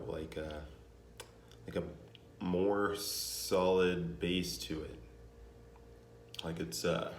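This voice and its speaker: Young guy w/ really deep low voice, rambly laid-back vlog talk, lots of uh and like, echoey room. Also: a little hiss or hum. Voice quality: naturally deep voice. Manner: relaxed, rambling, with many fillers and restarts. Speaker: vlogger. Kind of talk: casual vlog talk to camera. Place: home in Denver. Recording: echoey room with faint ambience.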